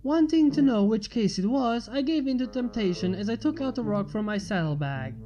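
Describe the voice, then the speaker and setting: Female story narrator, higher-pitched yet warm and relaxed, faint music underneath. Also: fairly quick, dull audio. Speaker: fan fiction reader.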